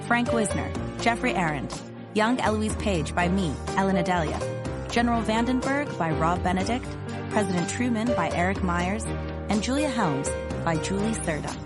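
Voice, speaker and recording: A woman's voice: mid-range voice, lively intonation, moderate pace. A woman reading cast and production credits as a scripted radio announcement. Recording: studio voice under loud music.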